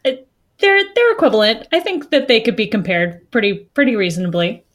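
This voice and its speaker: A woman's voice: on the high side, big pitch swings, fairly quick. A woman co-hosting a casual podcast, laughing often and cracking sarcastic jokes. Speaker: podcast co-host.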